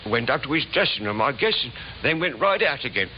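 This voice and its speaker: An actor playing a timid old stage doorkeeper in a radio drama, speaking hesitantly and deferentially with a slight stammer. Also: male voice, mid-range voice, big pitch swings, fairly quick, natural voice. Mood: deferential, earnest. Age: older. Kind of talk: 1950s radio drama acting. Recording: scratchy, dull 1950s radio recording.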